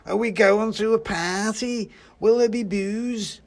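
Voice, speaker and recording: Shrill, melodramatic Christmas pudding. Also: male voice, fairly even intonation, slow pace. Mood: giddy delight. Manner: exclamatory, melodramatic. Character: huge drunken Christmas pudding, gleeful then hysterically outraged, male. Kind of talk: theatrical storybook character voice.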